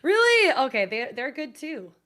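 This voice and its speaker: An enthusiastic woman with a fairly high voice chats as a guest on a talk show. Her delivery is informal and freely peppered with swearing. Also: sing-song, normal speed, fairly bright tone. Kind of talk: casual chat.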